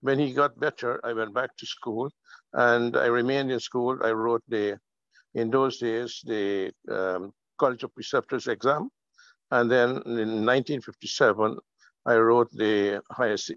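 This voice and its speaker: An older man from Guyana telling his life story on a video call, slow and halting with many fillers. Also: medium pitch, fairly even intonation, a bit bright, no echo. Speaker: guest speaker. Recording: muffled video call audio.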